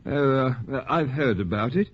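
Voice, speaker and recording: A man's voice: medium pitch, expressive, a little slow, husky. An actor playing a bored colonial townsman, hemming and hesitant, in a 1940s radio drama. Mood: weary impatience. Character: bored, fed-up colonial townsman politely trying to change the subject. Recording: dull vintage 1940s radio recording.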